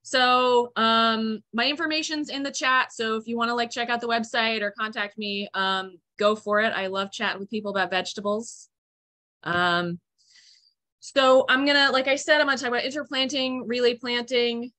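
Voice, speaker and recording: Young woman, bright, fairly high voice, chatty, video call. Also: steady tone, moderate pace. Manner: chatty, upbeat, many fillers. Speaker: farmer and presenter. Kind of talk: casual online presentation. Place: room at home, indoors.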